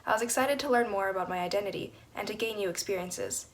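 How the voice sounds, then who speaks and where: Teen girl, high school student, reads her essay quickly and fluently over faint background noise. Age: teens. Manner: fluent, steady reading. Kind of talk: reading a personal essay aloud.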